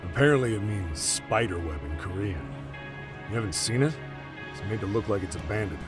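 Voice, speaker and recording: A gruff informant speaks in a very low, conspiratorial voice. He is guarded and terse, in game cutscene style with clear music behind him. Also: expressive, brisk. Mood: guarded, serious. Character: guarded, gruff male informant leaking police secrets. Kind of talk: dramatic video game voice acting.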